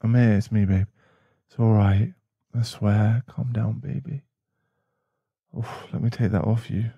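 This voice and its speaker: A man's voice: on the low side, fairly even intonation, a little slow, creaky, breathy edge, no room sound, lo-fi sound, full character voice. A man playing a protective, tender werewolf boyfriend in an ASMR roleplay, speaking in a soothing, reassuring manner. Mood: soothing, worried.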